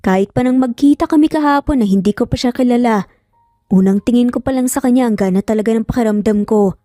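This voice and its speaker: A woman's voice: on the high side, fast talker, mellow, no echo, clean studio sound, faint music behind, close to an everyday voice. A woman voicing an earnest, emphatic young father in a narrated romance story, torn over his child. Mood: earnest, amazed. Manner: earnest, emphatic, code-switching.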